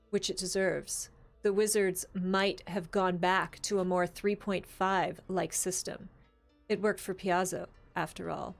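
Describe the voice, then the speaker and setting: A woman hosting a casual discussion show, talking conversationally with lots of "like" asides. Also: fairly low pitch. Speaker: show host. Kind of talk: casual panel discussion of tabletop gaming.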